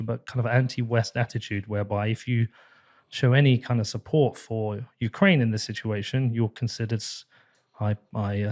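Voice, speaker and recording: A man's voice: on the low side, a little mellow. Chatty self-deprecating male podcast host. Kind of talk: casual interview talk.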